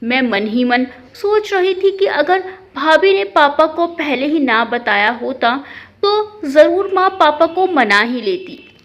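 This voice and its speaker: A woman's voice: sits high, lively intonation, slow. A woman reading a scripted first-person family drama as a story narrator. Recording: dull, lo-fi sound.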